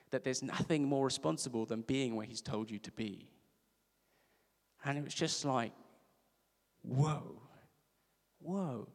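A man from Africa, preaching a sermon in a conversational storytelling way with emphatic repeats. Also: a little high. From South Africa. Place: church service. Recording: reverberant church hall.

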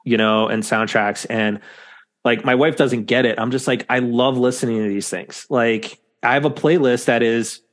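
A man's voice: mid-range voice, fairly even intonation, moderate pace. A man hosting a podcast in casual chat, rambling with lots of fillers. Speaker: podcast host.

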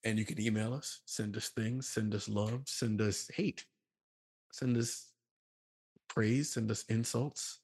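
A man hosting a podcast in casual chat, laid-back and quick to laugh, running through the show's plugs. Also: on the low side, steady tone, darkish tone, breathy edge. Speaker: podcast host.

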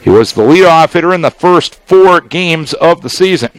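A man doing live baseball play-by-play as a radio announcer, speaking in measured, clipped phrases with deliberate pauses. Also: fairly high pitch, animated, poor audio. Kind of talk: live sports play-by-play commentary.

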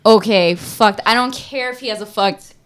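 A woman's voice: mid-range voice, moderate pace, fairly bright tone, smooth. Woman, casual podcast talk, blunt, opinionated, sweary. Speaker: podcast panelist. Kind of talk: casual chat.

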